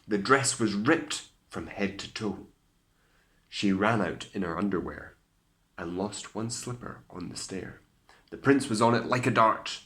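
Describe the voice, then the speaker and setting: A Scottish man reading aloud as a teacher to children, in an expressive, theatrical storytelling style with hushed asides. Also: lowish voice, a little slow.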